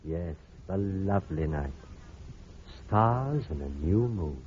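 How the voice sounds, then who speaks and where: An actor playing a calm, evasive doctor with measured, polite speech in a vintage radio drama. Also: male voice, deep voice. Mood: calm, genial. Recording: dull, noisy old radio recording.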